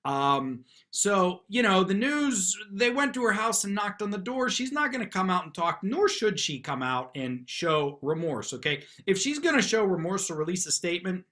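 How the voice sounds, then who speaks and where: Bright-voiced man giving casual commentary. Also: high-pitched, sing-song, normal speed, few pauses. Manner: informal, chatty. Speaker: lawyer commentator, channel host. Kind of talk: casual off-the-cuff commentary on a news clip.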